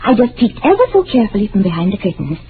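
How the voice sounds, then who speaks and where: A woman's voice: medium pitch, sing-song, racing, close to an everyday voice. An actress playing a childlike, lonely woman of nearly forty in an old-time radio drama, with a timid, wistful, pleading manner. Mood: anxious to please. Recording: tinny, noisy old radio recording.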